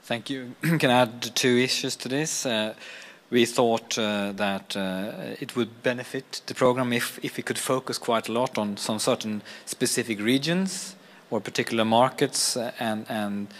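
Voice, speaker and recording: A man's voice: mid-range voice, measured pace, a bit bright. A man giving an informal readout at a meeting, a little tentative and repeating words as he goes. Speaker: roundtable participant reporting back. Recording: clear background room noise.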